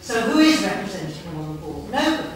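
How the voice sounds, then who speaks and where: A woman's voice: mid-range voice, big pitch swings, quick pace, a crowd in the background. Distant woman speaking at a meeting. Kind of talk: formal meeting questioning and chairing. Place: large wood-panelled council meeting room. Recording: echoey, noisy meeting room, distant mic.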